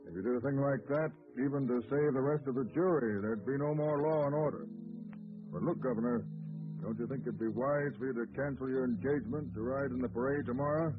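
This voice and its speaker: An actor playing a dignified, firm judge in 1940s radio acting, speaking steadily with authority. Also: male voice, medium pitch, fairly quick, music in the background, lightly acted. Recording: tinny old radio transcription.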